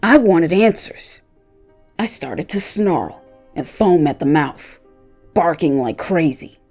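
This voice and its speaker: A narrator voicing a loyal pet dog who tells his own story of wanting revenge, in deliberate, dramatic scripted storytelling. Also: medium pitch, creaky, mellow. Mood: angry, vengeful.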